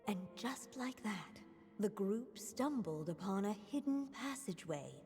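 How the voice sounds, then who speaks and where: A woman giving scripted fairy-tale narration in a game, in a slow, gentle storytelling manner. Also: mid-range voice, animated. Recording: reverberant, with faint music.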